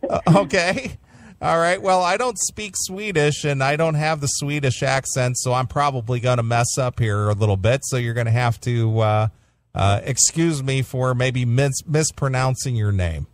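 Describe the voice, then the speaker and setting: A man's voice: mid-range voice, normal speed. A man hosting a classic metal radio show, interviewing a guest by phone in a rambling way with many fillers. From United States.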